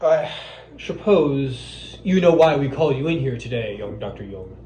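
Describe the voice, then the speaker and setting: A man playing a puzzled, fussy but genial psychoanalyst, speaking haltingly in an amateur comedy film. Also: medium pitch, lively intonation, natural voice. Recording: echoey room with faint noise.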